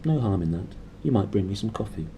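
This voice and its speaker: Man playing a deep, commanding aristocratic lord. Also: sing-song, quick pace, dark tone, slight background noise. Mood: curt, commanding.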